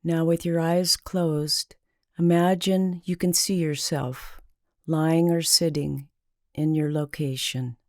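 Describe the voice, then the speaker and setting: Slow, low, mellow woman guiding meditation. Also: no room sound, studio quality. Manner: slow, calming, deliberate with long pauses. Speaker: meditation guide. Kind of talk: guided meditation narration.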